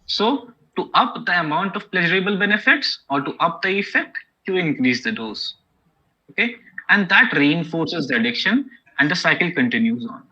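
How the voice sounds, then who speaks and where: Bright, husky Indian-accented male lecturer. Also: fairly high pitch, big pitch swings, normal speed. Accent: Indian English. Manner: explanatory, many okays and you-knows. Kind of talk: academic lecture. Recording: dull lo-fi recording.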